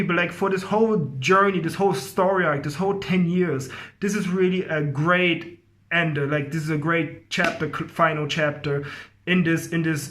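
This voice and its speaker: A man's voice: fairly high pitch, a bit bright. A man giving a casual, effusive movie review to viewers, rambling and often repeating himself. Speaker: film review host.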